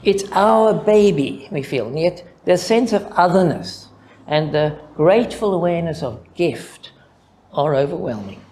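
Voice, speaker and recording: A man's voice: fairly high pitch, expressive, darkish tone. Older Zimbabwean man reading reflectively. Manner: deliberate, reflective, with rhythmic lists. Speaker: conference speaker, mathematician. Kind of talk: reading a prepared paper.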